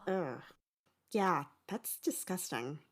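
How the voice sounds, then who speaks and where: A woman's voice: on the low side, expressive, slow. A woman playing a nosy, playful young friend who loves to tease, in an audio drama. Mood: playful, teasing.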